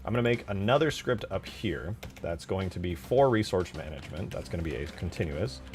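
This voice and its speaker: A man casually narrating his game modding work, thinking aloud in a halting, muttering way as he goes. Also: low voice, expressive, darkish tone, slight background noise. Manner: halting, mutters while typing.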